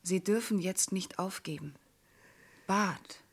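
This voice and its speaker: Devoted, soothing secretary, medium pitch. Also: female voice, takes it slowly, husky. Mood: earnest reassurance. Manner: earnest, soothing. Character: devoted, soothing female secretary, loyal to her boss. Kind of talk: German crime radio drama acting.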